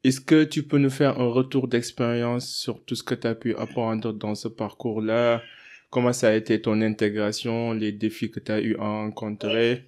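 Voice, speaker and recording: A man hosting a podcast, chatting in a relaxed way with frequent fillers and laughs. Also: medium pitch, normal speed. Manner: relaxed, laughs often, many fillers and restarts. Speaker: podcast host. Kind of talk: casual interview.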